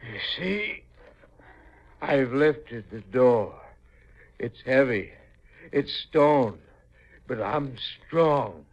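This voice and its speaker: An actor playing a slow-witted, childlike strong man in a 1950s radio mystery, with halting, stammering, simple speech that is eager yet menacing. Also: medium pitch, lively intonation. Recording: muffled, noisy 1950s radio recording.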